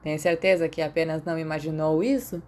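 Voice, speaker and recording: A woman's voice: sits low, fairly even intonation, fairly quick, dark tone, close to an everyday voice. A Brazilian woman voicing a cheeky, blunt teenage boy, a teasing imaginary friend in an audiobook. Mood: blunt, exasperated. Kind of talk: audiobook character dialogue.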